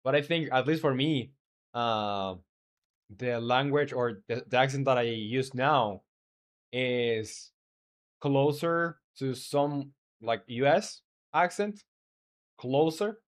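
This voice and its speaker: Male game-dev streamer, Spanish first language, mid pitch. Casual, halting think-aloud delivery with lots of pauses. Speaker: game developer streaming live. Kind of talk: casual live-stream chat and coding talk.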